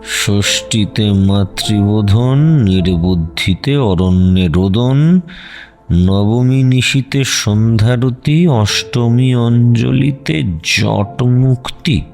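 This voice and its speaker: An actor playing a shrewd, self-possessed private detective in a radio drama, with a deliberate, probing and wry delivery. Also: male voice, fairly low pitch, vocal fry, a little mellow, faint music behind. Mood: deliberate, reciting. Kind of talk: Bengali radio audio drama acting.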